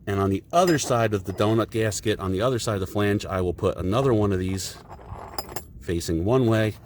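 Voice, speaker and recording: A man's voice: fairly low pitch, brisk, a little mellow, slight background noise. A man hosting a casual do-it-yourself car repair vlog, talking in a rambling, self-deprecating way with a stuffy nose. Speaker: DIY video host.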